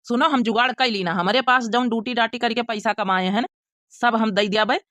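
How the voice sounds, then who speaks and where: Woman voicing a bright, earnest young Awadhi husband. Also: a little high, fairly even intonation, fairly quick, no echo, studio quality, lightly acted. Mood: reassuring. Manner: earnest, reasoning, reassuring. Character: young married son, protective, generous and reassuring. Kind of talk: cartoon comedy dialogue.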